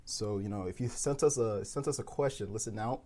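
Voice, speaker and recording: A man co-hosting a casual podcast round-table, relaxed and full of fillers like "you know". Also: on the low side, a little fast. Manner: relaxed, filler-heavy, laughs often. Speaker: podcast co-host.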